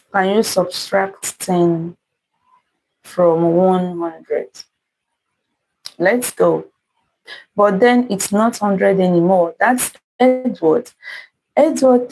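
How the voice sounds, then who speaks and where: A woman's voice: mid-range voice, mellow, rough recording. A woman with a Nigerian accent hosting an interactive math puzzle live stream, reading out comments and greeting viewers by name. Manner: unhurried, repeats greetings and thanks to viewers by name.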